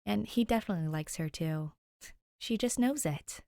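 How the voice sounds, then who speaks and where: Young woman reading stories n chatting to listeners, normal mid pitch, really dry close sound. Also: expressive, moderate pace, creaky, mellow, dry recording. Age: young adult. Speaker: podfic reader and channel host. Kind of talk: story narration and chatty sign-off.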